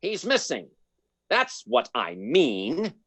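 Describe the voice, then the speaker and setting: An actor playing an agitated, accusing old rich man in a radio detective drama, halting and melodramatic. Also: mid-range voice, huge pitch swings, fairly bright tone, no room sound, heavily acted. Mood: impatient. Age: older.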